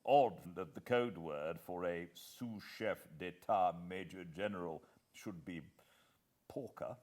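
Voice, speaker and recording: A British man voicing an upper-class senior government official in an audiobook, brisk, confiding and emphatic. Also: low voice, darkish tone.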